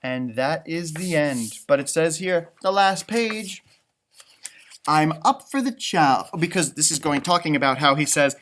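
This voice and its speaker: A man doing an expressive children's picture book read-aloud, with chatty asides and musing exclamations. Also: on the high side, normal speed, hardly any pauses, slight background noise. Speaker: storytime reader and elementary teacher.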